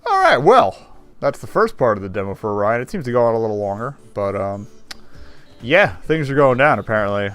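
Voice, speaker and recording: A man's voice: mid-range voice, big pitch swings, brisk, a bit bright, clear, resonant tone, faint music behind. A man reading game text aloud and adding wry, casual commentary as a streamer.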